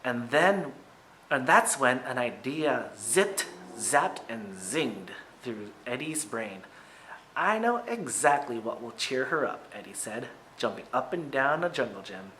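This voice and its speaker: Guy teacher doing a kids book read aloud, bright punchy voice, expressive, bit of noise in the background. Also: mid-range voice, slow pace, poor audio. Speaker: teacher reading aloud to pupils.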